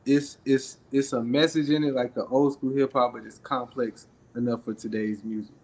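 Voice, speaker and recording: A man's voice: medium pitch, steady tone, moderate pace, a little mellow. A young man from Atlanta, a rapper talking casually in an interview and projecting his voice. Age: young adult. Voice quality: raspy. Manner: projecting his voice, laid-back with frequent fillers. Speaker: rapper guest.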